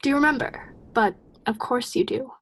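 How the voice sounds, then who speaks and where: A woman playing a calm, otherworldly guiding spirit in a radio play, speaking in a breathy half-whisper. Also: on the high side. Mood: gently probing. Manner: slow, solemn guiding.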